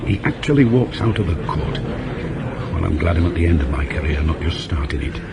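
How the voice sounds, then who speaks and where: A low, gruff voice swings freely through the blunt grumbling of a veteran police inspector. He is older, sardonic and thoroughly cynical, in a 1980s radio drama on a clearly noisy old tape. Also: expressive, brisk, exaggerated voice. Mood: exasperated.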